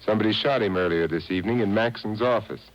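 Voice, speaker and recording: An actor playing a suave, sardonic amateur sleuth in a 1950s radio detective drama, dry and urbane. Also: male voice, on the low side, quick pace, natural voice. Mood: dry, probing. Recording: dull old radio broadcast on cassette.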